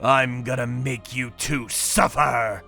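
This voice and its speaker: A man voicing a grim, vengeful torturer who narrates his work in a manga dub with deliberate menace. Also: medium pitch, steady tone, gravelly, pushed and bright, soft background music, heavily acted. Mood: cold menace. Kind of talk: dramatic first-person manga dub narration.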